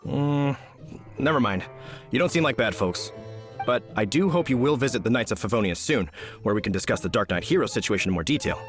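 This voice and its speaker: Man acting courteous young knight, medium pitch, expressive swings, formal eager delivery, video game dialogue. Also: brisk, faint music behind, close to an everyday voice. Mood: puzzled, courteous. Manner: formal, polite, eager, emphatic. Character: earnest, courteous young knight on guard duty.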